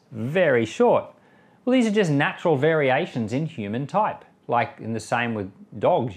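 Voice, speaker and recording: Conversational man giving Bible commentary. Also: medium pitch. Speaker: pastor and Bible podcast host. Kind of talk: informal Bible commentary and prayer.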